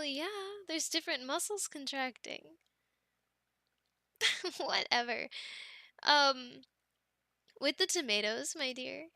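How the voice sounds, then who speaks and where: A young woman voicing an affectionate, teasing girlfriend in an ASMR roleplay, speaking softly, giggling and dropping into whispers, close to the mic. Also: high-pitched, gravelly, no echo, full character voice. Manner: soft and giggly, drifting into whispers.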